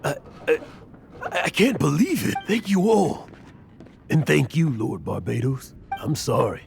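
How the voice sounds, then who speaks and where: An old adventurer worn down by guilt in a video game. His fairly high voice crackles with gravel and swings widely as he speaks in halting, emotional phrases. Music plays behind him. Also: big pitch swings, a little mellow, heavily acted. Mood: moved, relieved. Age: older. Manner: halting, emotional, dramatic delivery. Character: weary, guilt-ridden old male adventurer.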